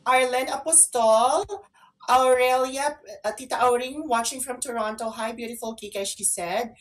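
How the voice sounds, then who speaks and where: A woman's voice: on the high side, moderate pace, rough recording. A woman co-hosting an online radio show, talking in a casual, conversational style. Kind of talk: casual chat and listener shout-outs.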